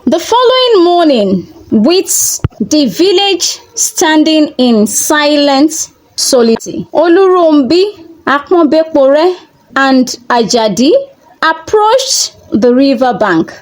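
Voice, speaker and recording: A woman narrating a scripted folktale as a storyteller, in deliberate, measured short phrases. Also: high voice, very animated, poor audio.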